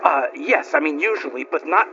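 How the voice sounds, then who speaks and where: An actor playing a nervous, good-natured male machine operator in a video game, speaking in a halting, meek way. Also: fairly high pitch, lively intonation, sharp tone, a little hiss or hum. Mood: hesitant. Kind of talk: video game cutscene acting. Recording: muffled game capture with music.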